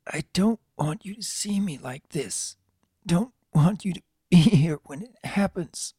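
A performer voicing a gentle, dying young male pegasus colt, breathless, weak and raspy, in a story reading. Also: medium pitch, slow pace, dry recording, clean studio sound, voice pushed up. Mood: weak, wavering.

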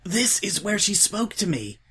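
A man voicing a frail, starving male changeling who clings to his faith, pleading in a raised, husky, breathless voice. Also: sits high, big pitch swings, a little slow, bright, forceful tone, voice pushed up, exaggerated voice. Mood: fervent pleading.

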